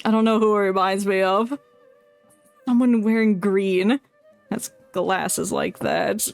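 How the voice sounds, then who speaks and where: A woman's voice: medium pitch, takes it slowly, no room sound, soft background music. A woman streaming a game with casual, joking, giggly commentary and plenty of swearing.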